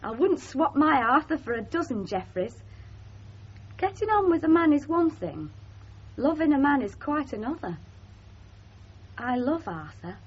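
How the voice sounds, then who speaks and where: An actress playing an earnest, anxious young newlywed bride, speaking hesitantly in a 1970s radio drama. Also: female voice, high voice, big pitch swings, a little slow, pauses often. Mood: earnest, devoted. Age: young adult. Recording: dull 1970s radio broadcast.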